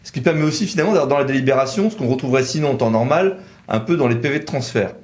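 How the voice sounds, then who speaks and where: Man methodically explaining legal nuances. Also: mid-range voice. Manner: measured, methodical, nuanced reasoning. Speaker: lawyer presenting a legal explainer. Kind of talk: conversational legal explainer in tandem.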